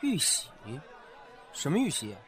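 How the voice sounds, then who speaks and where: A man's voice: high-pitched, wildly swooping pitch, measured pace, a faint crowd, exaggerated voice. A man voicing a curious, naive young master in an audio drama who talks eagerly and asks many questions.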